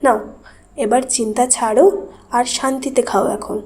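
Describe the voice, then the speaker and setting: Woman doing a caring young cartoon wife, real high voice, mellow n gentle. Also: fairly even intonation, moderate pace, close to an everyday voice. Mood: soothing. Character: caring, reassuring young wife of a worried man. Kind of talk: Bengali horror cartoon voice acting.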